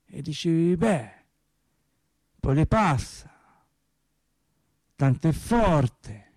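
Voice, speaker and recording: An actor playing a naive working-class man in a radio drama monologue, telling his sad story in a colloquial, halting, wry way. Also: on the high side, a little mellow, no echo, exaggerated voice. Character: naive working-class man recounting his cancer and death, resigned, tender.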